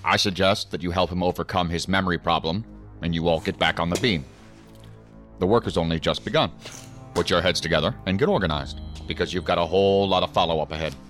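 An actor playing a stern, commanding federal agency superior in a science fiction audio drama, speaking in a formal, clipped, authoritative way. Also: male voice, sits low, quick pace, fairly bright tone, soft background music. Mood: brisk, businesslike.

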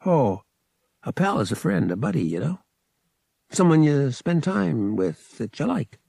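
A man's voice: on the high side, sing-song, a little slow, gravelly, mellow, husky, no room sound, voice pushed up, exaggerated voice. A man playing a wry, casual young space pilot in an audiobook, with slangy, slightly hesitant talk. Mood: casual, friendly.

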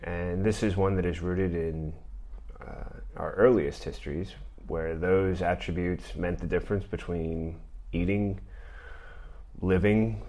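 A middle-aged man with a deep voice, hosting a podcast and talking through an argument in a measured, thoughtful way. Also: steady tone, warm tone. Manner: measured, thoughtful delivery with occasional fillers. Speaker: podcast host. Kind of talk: reading and commenting on an argument.

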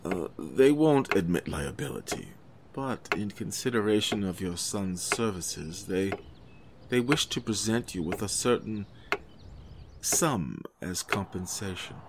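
Man acting a stammering, low-voiced company messenger. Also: lively intonation, measured pace, noisy background. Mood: nervous, apologetic. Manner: stammering, formal, apologetic. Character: nervous, apologetic company messenger bearing bad news. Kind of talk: audio drama horror acting.

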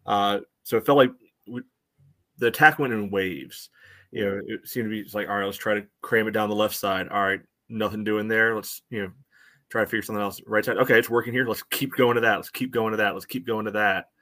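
A man's voice: fairly low pitch, steady tone, fairly quick, pushed and bright, no room sound, clean studio sound. A man co-hosting a sports podcast, chatting casually as he analyses a soccer match.

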